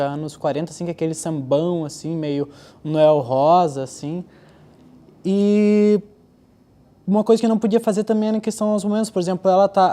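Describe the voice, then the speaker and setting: A man, a musician chatting casually and haltingly in an interview. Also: sits high, warm tone.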